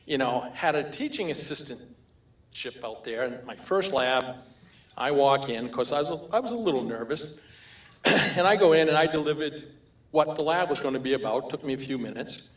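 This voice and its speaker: A man's voice: on the high side, animated, moderate pace. Older man with a Maine accent, echoey hall. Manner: chuckling anecdotal storytelling. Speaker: award recipient. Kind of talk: humorous acceptance speech. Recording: roomy hall echo.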